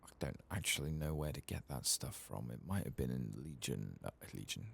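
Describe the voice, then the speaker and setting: A soft-spoken man with a husky, gravelly voice, murmuring unhurriedly as he talks through a video game in ASMR style. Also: a bass voice, lively intonation, fairly quick, a little hiss or hum.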